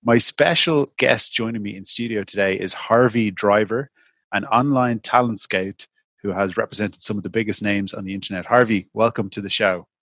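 Deadpan comedy host, dry sound. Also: male voice, fairly low pitch, normal speed, no echo. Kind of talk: improvised comedy call-in show. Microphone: video call.

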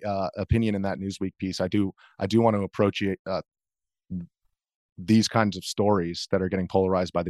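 A man's voice: low-pitched, darkish tone, dry recording, clean studio sound. A man talking casually as an interview guest, a journalist who often repeats words mid-sentence.

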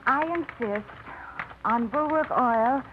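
A flustered small-town librarian. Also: female voice, a little high, slow, over music. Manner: flustered, self-scolding, laughing it off. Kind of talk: 1950s radio drama acting. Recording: dull old radio broadcast.

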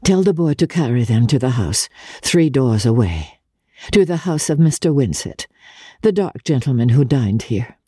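A woman's voice: a bass voice, animated, moderate pace, dark tone, husky, no echo, clean studio sound, exaggerated voice. A woman voicing an imperious, passionate aristocratic lady in a theatrical, exclamatory, commanding way for a storybook reading. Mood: urgent, commanding.

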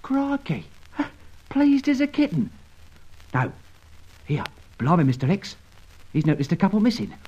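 High-pitched excitable sidekick. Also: male voice, a little slow, long pauses, slightly rough, exaggerated voice. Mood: amazed. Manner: exclamatory, colloquial. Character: excitable, slangy working-class male sidekick. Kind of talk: old radio drama acting. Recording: muffled old radio recording.

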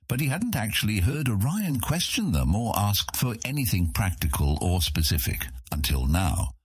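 A man reads a fantasy story as narrator. His voice is low and husky with animated rises and falls, captured close in a dry studio sound. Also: dry recording. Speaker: audiobook narrator.

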